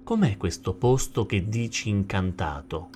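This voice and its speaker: A man's voice: on the low side, expressive, measured pace, darkish tone, a little hiss or hum. A man voicing a cautious, curious, timid dolphin in a children's story, speaking hesitantly. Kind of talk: rhymed children's story character.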